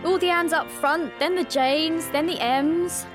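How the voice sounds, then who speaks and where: Prim, earnest woman, slightly high. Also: measured pace, music in the background. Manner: earnest, proper. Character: prim, earnest, virtuous pregnant seamstress, bullied outsider. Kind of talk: period radio drama acting with narration.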